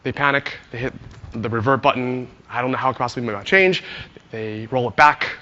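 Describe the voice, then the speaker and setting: A hoarse man giving a friendly conference talk to an audience, telling a story with many "uh" fillers. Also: medium pitch, fairly quick, pushed and bright, long reverb tail, highs cut off. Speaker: conference speaker, engineer.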